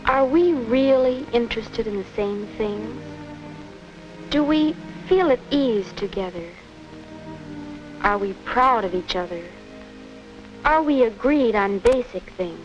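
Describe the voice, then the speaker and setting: An earnest young American woman, unsure about her romance, in a 1950s educational film. Her voice sits a little high and she questions herself. The old soundtrack is dull, with faint music. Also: expressive, slow, pauses often. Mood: pensive. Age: young adult. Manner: wondering, self-questioning. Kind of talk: 1950 educational film acting and narration.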